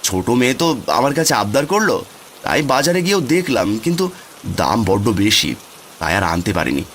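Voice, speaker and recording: A man's voice: mid-range voice, very animated, fairly quick, fairly bright tone, a little hiss or hum. A man voicing a poor, doting village father in a cartoon, humble and coaxing. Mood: humble, pleading.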